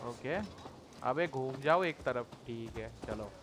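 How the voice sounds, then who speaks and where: A man's voice: mid-range voice, rapid-fire, a bit bright, slight background noise. A man giving casual commentary as he plays a video game. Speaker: gameplay streamer. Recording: game sounds clearly behind voice.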